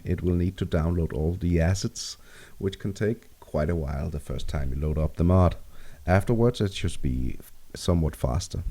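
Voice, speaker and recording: Man, Danish accent, low mellow voice, calm tutorial explaining. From Denmark. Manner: calm, steady step-by-step explaining. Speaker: tutorial maker. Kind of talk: screen-recorded software tutorial.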